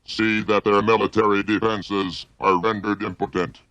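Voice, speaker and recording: A man's voice: on the low side, flat delivery, normal speed, a bit bright, no echo. A man voicing a menacing, obedient robotic alien soldier, formal and commanding, through a buzzing synthetic vocoder effect. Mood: cold, obedient.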